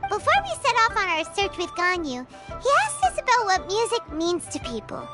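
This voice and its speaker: Game fairy companion: tiny, squeaky, bubbly chatterbox with lively pitch swings, loud music behind. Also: female voice, normal speed, exaggerated voice. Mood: chatty. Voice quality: squeaky, childlike. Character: small chirpy childlike fairy companion, eager, talks of herself by name. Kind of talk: video game character acting. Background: loud tinkling game music.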